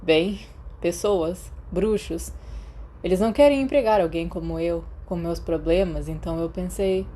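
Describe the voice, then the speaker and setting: A Brazilian woman voicing a reticent, resigned teenage schoolboy in an audiobook, halting and mumbling. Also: lowish voice, mellow. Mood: hesitant, resigned.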